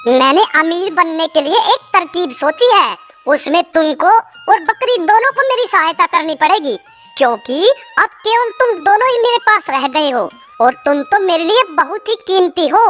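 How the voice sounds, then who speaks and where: A cartoon voice actor playing a sly, fawning village swindler who schemes and flatters theatrically, with children's music behind. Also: extremely high pitch, moderate pace, exaggerated voice. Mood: scheming. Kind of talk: animated moral story character dialogue.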